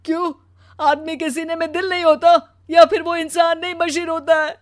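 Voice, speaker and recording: A woman's voice: fairly high pitch, animated, moderate pace, lightly acted. A woman voicing a weary, pleading husband worn down by his burdens in a dramatised story. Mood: anguished, tearful. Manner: pleading, exasperated. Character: weary, overburdened husband, anguished office worker. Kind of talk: dramatised Hindi audio story.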